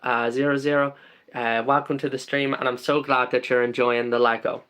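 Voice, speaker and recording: A man's voice: mid-range voice, little pitch movement, moderate pace, fairly bright tone, smooth. A man from the UK chats casually on his live stream in an ordinary mid-range voice. He reads out viewer comments and greets people by name. He rambles a little, with plenty of ums and uhs.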